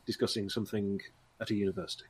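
A man's voice: fairly low pitch, little pitch movement, racing, breathy edge. A man, narrating as game master, hesitant and full of ums and you-knows.

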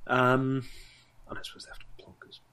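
A man's voice: medium pitch, huge pitch swings, brisk, bright, forceful tone, smooth, poor audio. A man, narrating as game master, hesitant and full of ums and you-knows.

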